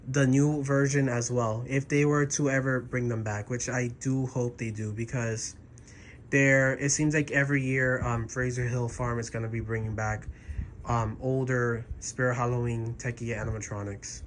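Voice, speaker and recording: A slightly hoarse man, half sick, casually reviewing a prop in a bedroom with frequent throat clearing and restarts. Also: medium pitch, a little hiss or hum.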